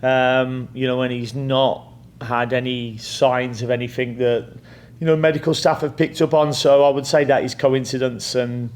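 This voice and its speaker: A man's voice: medium pitch, flat delivery, normal speed, few pauses, a little mellow. A middle-aged man with an English accent, a head coach answering press questions in a plain-spoken way, often repeating words and saying "you know" as he thinks.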